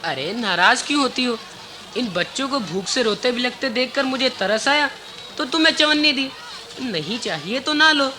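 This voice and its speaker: Earnest village schoolboy over noisy background. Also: female voice, a little high, expressive, moderate pace, bright, forceful tone. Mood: placating, earnest. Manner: earnest, explanatory. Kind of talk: Hindi radio drama acting.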